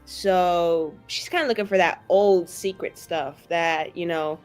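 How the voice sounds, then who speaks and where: A woman's voice: on the low side, fairly even intonation, unhurried, soft background music. A woman playing a tabletop roleplaying game, improvising in casual chat with a joking, sarcastic manner.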